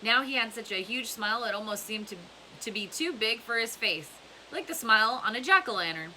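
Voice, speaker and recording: Female read-aloud narrator: bright tone, animated pitch, storybook delivery, slight hiss. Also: brisk, low-quality recording. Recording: clear background hiss.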